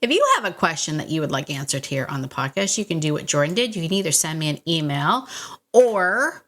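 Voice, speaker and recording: A woman with a somewhat low voice hosts a solo podcast. She speaks straight to the listener in a casual, chatty way, cutting into her own sentences as she goes. The delivery is informal and encouraging. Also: expressive, brisk, fairly bright tone. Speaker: podcast host and dog trainer.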